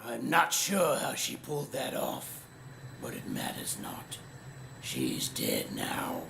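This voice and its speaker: An actor playing a sneering old villain in a video game, gloating with theatrical, mocking, drawn-out delivery and a gravelly voice. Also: male voice, mid-range voice, wildly swooping pitch, over music, heavily acted. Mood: dismissive. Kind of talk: dramatic video game voice acting.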